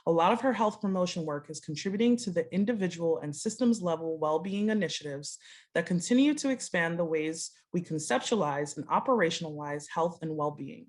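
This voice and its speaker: A woman's voice: lowish voice, lively intonation, a little fast. A woman hosting an online workshop over a video call, reading out a prepared, formal introduction. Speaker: host introducing a workshop speaker. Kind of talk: scripted event introduction.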